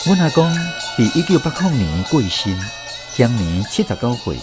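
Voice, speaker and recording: A man's voice: mid-range voice, big pitch swings, normal speed. A man with a Taiwanese accent narrating a radio drama story. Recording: music under the voice. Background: instrumental music.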